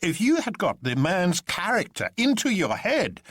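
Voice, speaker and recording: Storybook colonel, acted by a male narrator: incredulous, impatient, exclaiming, high and swooping. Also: huge pitch swings, a little slow, fairly bright tone, breathy edge, dry recording, clean studio sound, heavily acted. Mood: incredulous, indignant. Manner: exclaiming, indignant.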